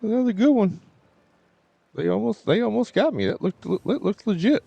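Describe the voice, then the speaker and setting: A man livestreaming a game and chatting casually with viewers in a halting, informal, repetitive way. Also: high voice, animated, gravelly, warm tone, husky, dry recording, lo-fi sound. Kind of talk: casual chat with stream viewers.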